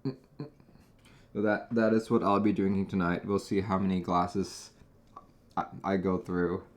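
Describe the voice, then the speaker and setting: A man from Taiwan hosting a casual live stream, talking in a chatty, relaxed way with frequent small restarts. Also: sits low, slow pace. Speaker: host.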